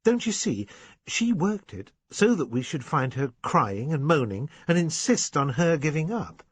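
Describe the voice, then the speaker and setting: A man voicing an anxious, intense young English gentleman in an audiobook novel, urgent and well-bred. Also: mid-range voice, very animated, normal speed, husky, no echo. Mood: urgent, certain.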